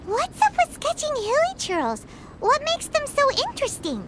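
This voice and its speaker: A woman voicing a tiny, excitable, childlike pixie companion in a video game, chatty and quick to exclaim. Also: extremely high pitch, heavy background noise, full character voice. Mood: curious. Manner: speaks of self in third person, exclamatory. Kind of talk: animated video game voice acting. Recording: music behind the voice.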